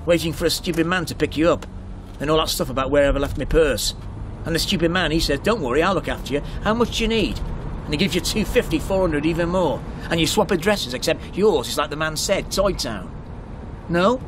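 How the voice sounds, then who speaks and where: An actor playing a guarded, sardonic motorist in a naturalistic radio drama, blunt and questioning in manner. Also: male voice, a little high, lively intonation, brisk, background noise, natural voice. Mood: sardonic, accusing. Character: guarded, sardonic male motorist sheltering a hitch-hiker.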